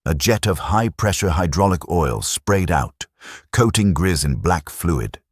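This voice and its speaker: A man reads a science fiction audiobook in a very deep, husky voice. His delivery is dramatic and measured, with lively swings in intonation. The sound is close and dry. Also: no room sound. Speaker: narrator. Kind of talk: scripted audiobook narration.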